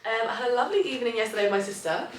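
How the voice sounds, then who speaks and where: A woman's voice: fairly high pitch, animated, very fast, slight background noise. Chatty young woman, stuffy nose. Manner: bubbly, rambling, self-deprecating. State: nasal from allergies. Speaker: vlogger and personal trainer. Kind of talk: chatty daily vlog. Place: at home in her flat. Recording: roomy, distant home audio with faint ambience.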